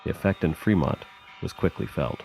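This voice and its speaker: A man giving measured, scripted documentary narration. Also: low voice, vocal fry, over music.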